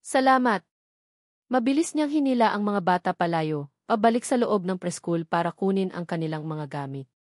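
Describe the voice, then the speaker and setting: A woman's voice: lowish voice, expressive, a little fast, darkish tone, no echo, clean studio sound. A woman reading a scripted romantic drama story as its narrator.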